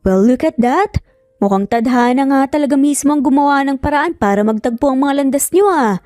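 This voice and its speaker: A woman's voice: high voice, brisk, no room sound, close to an everyday voice. A woman with a Filipino accent voicing a teasing, easygoing man, a friend in a narrated story. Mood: amused, teasing. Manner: amused, meaningful teasing. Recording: soft music under the voice.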